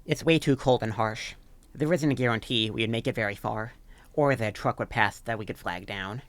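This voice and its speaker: An actor playing a worried, reassuring young student peacemaker in an amateur audio drama, measured and conciliatory. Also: mid-range voice, dry recording, lightly acted. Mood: worried, practical.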